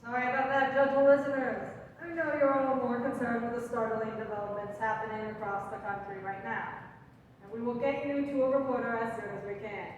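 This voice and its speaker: A woman's voice: on the high side, fairly even intonation, a little fast, muffled recording, lightly acted. Woman acting an earnest radio announcer, very echoey hall. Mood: apologetic. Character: earnest old-time radio announcer apologising to gentle listeners. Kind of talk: theatrical radio drama table read. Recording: very echoey hall, distant, faint noise.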